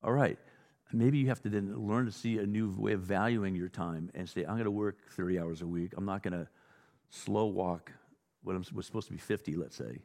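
Low-voiced American preacher in a reverberant hall. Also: male voice, a little fast, creaky, a little mellow. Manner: informal, acts out dialogue, exhorting. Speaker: pastor preaching. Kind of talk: conversational sermon with anecdotes.

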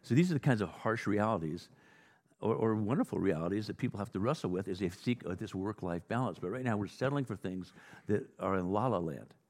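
A man's voice: on the low side, lively intonation, brisk, a little mellow. An American man preaching a conversational sermon, telling anecdotes and exhorting listeners in an informal way. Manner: informal, acts out dialogue, exhorting. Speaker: pastor preaching. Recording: reverberant hall.

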